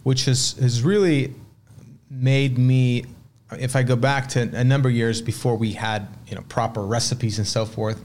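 A man in his late thirties, originally from Sweden, telling his story as a restaurant owner in a relaxed, reflective way. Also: medium pitch, normal speed. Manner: relaxed, reflective storytelling with frequent "uh" and repeated words. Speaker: restaurant owner, podcast guest. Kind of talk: casual interview conversation.

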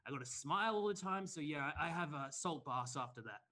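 Dude doing a sleazy self-help guru, high bright voice, rambly and smug. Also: male voice, expressive, a little fast. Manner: earnest, rambling, self-important. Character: smug sleazy male motivational speaker, greedy.

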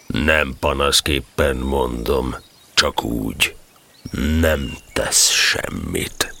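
A man playing a gloomy, sarcastic, self-pitying donkey in an audio drama, with a gravelly, slow, mournful drawl. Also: a bass voice, pushed and bright, exaggerated voice. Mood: mournful, resigned.

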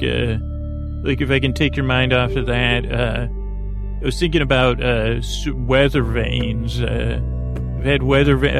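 A man with a creaky voice hosting a sleep podcast, delivering a meandering, hesitant bedtime monologue that drifts into tangents. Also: medium pitch. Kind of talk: rambling bedtime monologue meant to lull listeners to sleep.

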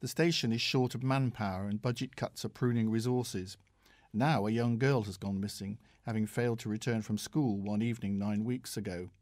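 A British man reading a scripted audiobook review in a measured, formal manner. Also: fairly low pitch, steady tone, darkish tone, no echo. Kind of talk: scripted review read aloud.

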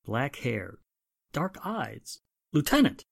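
Guy as a snooty well-spoken radio drama gentleman, formal, pointed, very up and down intonation. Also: medium pitch, big pitch swings, slow, creaky, exaggerated voice. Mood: musing. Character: haughty, well-spoken gentleman, suspicious nephew of wealthy victim. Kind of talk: 1940s radio drama acting.

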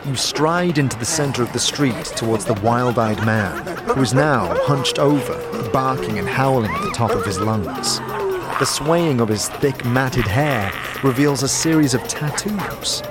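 A male narrator delivers scripted fantasy storytelling in a theatrical, vivid way. His pitch is mid-range and moves a great deal, and prominent music plays behind him throughout. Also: expressive, unhurried. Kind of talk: dramatic scripted second-person fantasy narration.

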